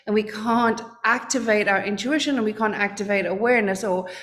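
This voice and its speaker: A woman's voice: medium pitch, steady tone, brisk, fairly bright tone. A woman, a guest on a podcast interview, explaining her ideas earnestly and often repeating words as she searches for them. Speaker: guest, trading coach.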